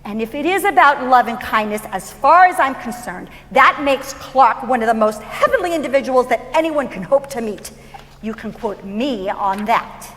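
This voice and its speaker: A woman's voice: a little high, animated, moderate pace, in a large hall. An actress playing a frail, wisecracking, kind-hearted elderly mother in a staged musical, speaking in a folksy, emphatic, theatrical way. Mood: indignant, earnest. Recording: live stage performance over loud piano. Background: piano accompaniment.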